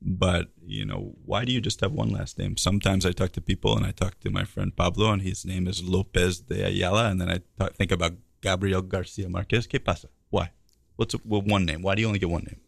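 Curious host with a deep gravelly voice. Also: male voice, flat delivery, moderate pace, no echo. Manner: curious, asks many questions. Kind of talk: casual interview chat.

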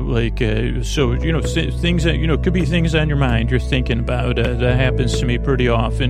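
A man hosting a sleep podcast in a rambling bedtime monologue, with a creaky voice and a hesitant, lulling, meandering manner. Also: mid-range voice, dry recording, studio quality.

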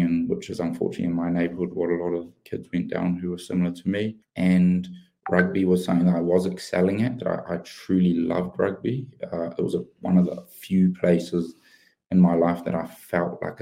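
A man's voice: low voice, creaky, dark tone, rough recording. A man, a former rugby player, telling his life story earnestly in an interview. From New Zealand. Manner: earnest, open, many restarts and fillers. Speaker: podcast guest, former pro rugby player.

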